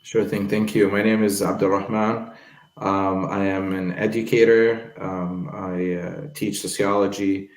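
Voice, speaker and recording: Casting: male guest educator, very low and creaky, polite, slightly hesitant, recorded with room echo. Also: level tone, unhurried. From Jerusalem. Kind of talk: casual self-introduction. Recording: roomy echo.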